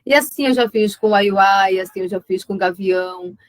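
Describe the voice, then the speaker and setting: A woman's voice: mid-range voice, moderate pace, dry recording. A woman with a northern Brazilian accent talking conversationally as a guest in a web conference, reflective and often repeating words as she searches for the next one. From Amazonas, Brazil (Alto Solimões).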